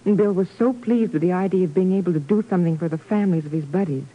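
An actress playing an earnest, grieving young widow, anxious but determined, in a 1950s radio drama. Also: female voice, on the low side, fast talker, natural voice. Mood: earnest, distressed. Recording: thin, narrow-band vintage radio recording.

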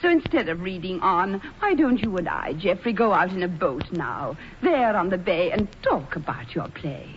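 Self-dramatizing actress, coquettish and grand; vintage radio play, muffled sound with light noise. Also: female voice, fairly low pitch, sing-song, normal speed, few pauses. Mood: breezy, airy. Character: vain, theatrical stage actress, grand and coquettish. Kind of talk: 1940s radio play acting. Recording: dull, noisy old radio recording.

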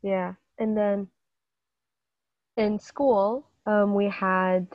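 A young woman from Hong Kong chatting with her brother. She talks in an animated, exclamatory way with quick asides. The audio is a little dull and muffled, close and dry. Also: medium pitch, no echo. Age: late teens. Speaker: co-host, sister. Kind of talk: casual chat.

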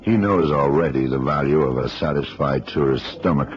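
Casting: observant FBI detective, bass voice, understated and explanatory, muffled vintage radio drama recording. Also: male voice, moderate pace. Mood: knowing, instructive. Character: blind male FBI detective, calm, observant, knowing. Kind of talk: 1960s-70s radio drama acting. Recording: dull old radio recording, background chatter.

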